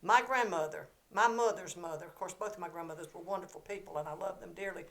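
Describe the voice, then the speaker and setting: A Southern woman in her seventies, a podcast guest telling stories from her life in a lively, playful way with frequent laughter. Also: lowish voice, fast talker. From Georgia, US. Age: 70s. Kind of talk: casual storytelling chat.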